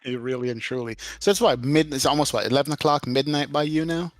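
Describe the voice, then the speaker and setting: A man's voice: medium pitch, quick pace, no echo. A man co-hosting a casual podcast, talking in a friendly, chatty way. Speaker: co-host.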